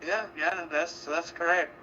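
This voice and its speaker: A man talking casually about technical matters as an amateur radio operator, halting and repeating words, over a digital radio transmission. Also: on the high side, steady tone, sharp tone, lo-fi sound, slight background noise.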